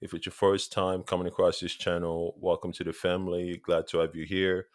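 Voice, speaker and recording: A man's voice: sits low, level tone, brisk, dry recording, clean studio sound. A man in his thirties from Congo, with a Congolese accent, eagerly talking football straight to his viewers in a casual vlog and repeating points for emphasis. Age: 30s. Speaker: football vlogger.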